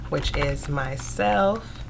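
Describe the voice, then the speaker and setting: A young woman with a stuffy nose from a cold, chatting casually to viewers while counting out cash in a steady murmur. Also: low-pitched, drawn-out words, gravelly, background noise. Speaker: budgeting vlogger.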